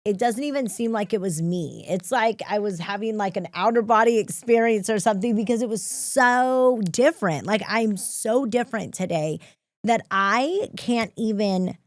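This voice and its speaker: A woman's voice: medium pitch, moderate pace, no echo. A woman hosting an interview podcast, affirming her guest and sharing her own experience as she asks questions. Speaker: podcast host. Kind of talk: conversational interview.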